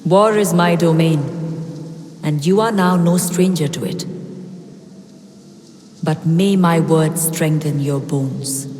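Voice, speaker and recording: A woman's voice: low-pitched, slow pace, long pauses, a little mellow. A woman playing a solemn, proud war deity in a video game, speaking in a stately way. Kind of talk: video game character acting. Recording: long hall reverb, faint noise.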